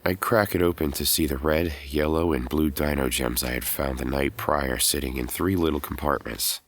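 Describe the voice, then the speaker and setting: A man's voice: very deep voice, steady tone, normal speed. A man, middle-aged, acting as a world-weary former hero turned professor who narrates his own story in an audio drama. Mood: measured narration. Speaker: narrator and lead actor. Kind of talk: scripted first-person audio drama narration. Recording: music under the voice.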